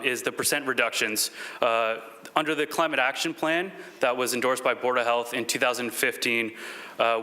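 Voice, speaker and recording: A man giving a formal presentation to a city council as a staff coordinator, polite and a little halting. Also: medium pitch, pushed and bright.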